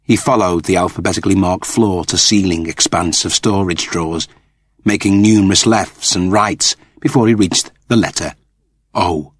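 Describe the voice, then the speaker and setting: A man's voice: low voice, normal speed, breathy edge, no echo. A man narrating a story as a scripted, dramatic audiobook reading.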